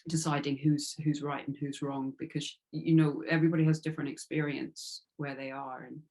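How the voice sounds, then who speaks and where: Female interview guest, low register, thoughtful and searching delivery with repeated words. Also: a little mellow. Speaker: interviewed author. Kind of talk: reflective interview conversation.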